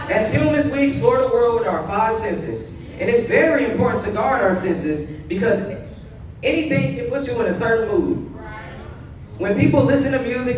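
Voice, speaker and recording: A teenage young man giving an earnest youth sermon in a large church sanctuary, reading scripture and preaching in short phrases. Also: high voice. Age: teens. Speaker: youth speaker. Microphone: pulpit mic filmed by a phone from the pews. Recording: roomy far-off phone recording in a large hall. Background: congregation ambience.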